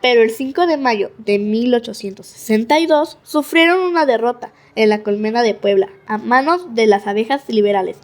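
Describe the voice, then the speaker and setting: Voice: medium pitch, normal speed, few pauses. A child narrator reading a scripted story for a school audio drama.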